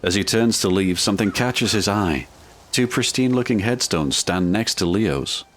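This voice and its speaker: A man giving measured, solemn scripted narration for an audio drama. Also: fairly low pitch.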